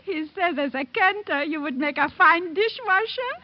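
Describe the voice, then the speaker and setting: Brief: motherly older woman, affectionate and fussing, highly animated delivery, muffled vintage radio sound. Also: normal speed. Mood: amused. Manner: fussing, affectionate, questioning tags. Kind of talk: 1940s radio drama acting. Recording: dull old radio recording.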